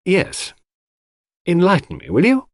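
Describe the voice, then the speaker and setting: A man's voice: high voice, wildly swooping pitch, a little slow, dry recording, studio quality, pitched above the performer's own voice, exaggerated voice. Man playing huffy, self-important detective's sidekick. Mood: cold, irritated. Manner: huffy, pompous. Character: indignant, self-important male friend of the detective.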